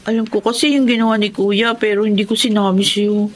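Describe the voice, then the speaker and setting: A woman's voice: mid-range voice, flat delivery, a little fast, a little hiss or hum, close to an everyday voice. An actress in a Tagalog radio drama playing an anxious, apologetic young schoolgirl with a Filipino accent. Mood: apologetic, sad.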